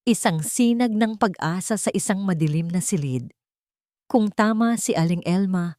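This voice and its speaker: A woman storyteller delivers dramatic scripted narration. Her voice sits a little low and moves expressively through a wide pitch range, recorded close and dry. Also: a little mellow, no echo.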